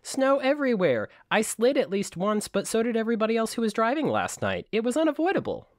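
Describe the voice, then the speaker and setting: Man, podcast co-host, lively swooping intonation, joking, casual chat, dry close sound. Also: very high voice, fast, no room sound.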